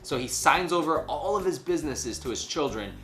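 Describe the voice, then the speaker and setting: A man's voice: on the high side, a little fast, slight background noise. A man, co-hosting a casual chat, retelling a story in slangy speech and acting out quotes. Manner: animated, slangy, acts out imagined quotes. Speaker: co-host of a news chat show. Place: small furnished room indoors, on a couch.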